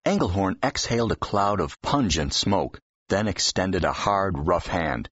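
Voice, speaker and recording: A man narrates a novel in a low, husky voice. His reading is expressive, with wide melodic movement, and the recording is dry and a little dull. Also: a little slow, dry recording. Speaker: audiobook narrator. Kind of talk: expressive scripted fiction narration. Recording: dull, slightly muffled audio.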